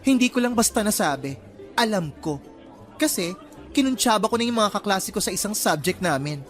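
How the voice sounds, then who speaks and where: A man's voice: high voice, lively intonation, fairly quick, soft background music. A man playing a flamboyant, sassy college theater student in a radio drama, with a campy, playful, swooping delivery. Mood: boastful, sassy. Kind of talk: Filipino radio drama acting.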